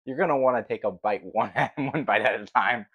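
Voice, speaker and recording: A man's voice: mid-range voice, a little fast, breathy edge, phone-quality sound. A man in casual, teasing banter as a livestream co-host, with a jokey, chuckle-prone manner.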